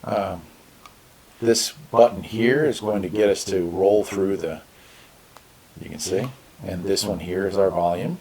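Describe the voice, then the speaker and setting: A middle-aged man giving a casual, plain-spoken product demonstration as host, in an unhurried manner. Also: low-pitched, steady tone, a little mellow, breathy edge. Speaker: host reviewing radio gear. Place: small room at a desk, indoors.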